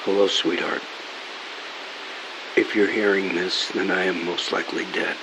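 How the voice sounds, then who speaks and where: A man's voice: deep voice, steady tone, unhurried. An actor playing a dead father leaving a solemn warning to his daughter on a tape message. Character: dead father in a recorded farewell message, grave. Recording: hissy old tape recording.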